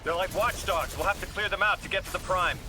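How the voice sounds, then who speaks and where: A man's voice: high voice, fairly even intonation, fast, noisy background, natural voice. An actor playing a cocky, driven young male intelligence operative in a video game, urgent and confident. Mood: focused. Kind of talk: video game dialogue acting.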